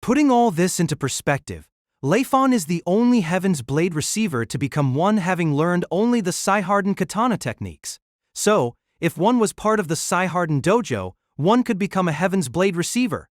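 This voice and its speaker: Man, novel narrator, animated pitch, dry close recording. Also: normal speed, no room sound.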